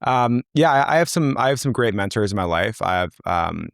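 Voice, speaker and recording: Young founder guy, low gravelly voice, rambly, tons of ums, dry close sound. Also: lively intonation, normal speed, no echo. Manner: loose, filler-heavy, rambling. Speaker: company founder, guest. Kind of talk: casual interview talk.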